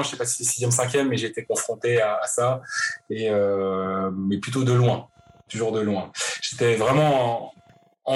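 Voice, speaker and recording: A man in his forties, from Paris, answers interview questions about his life. He speaks in a halting way, with frequent pauses and restarts. His voice sits at an ordinary middle pitch. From Paris, France. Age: 40s. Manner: hesitant, many fillers and restarts. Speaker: guest. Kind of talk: interview, personal story.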